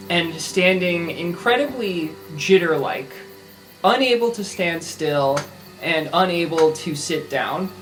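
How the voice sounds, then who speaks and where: A player in an improvised tabletop game describes a character in a casual, halting way. The voice has a middling pitch, with background music. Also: a bit bright, poor audio.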